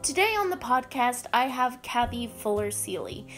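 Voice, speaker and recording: A woman's voice: a little high, lively intonation, moderate pace, a bit bright, faint music behind. A young American woman hosting a podcast in a casual, conversational style. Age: young adult. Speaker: podcast host.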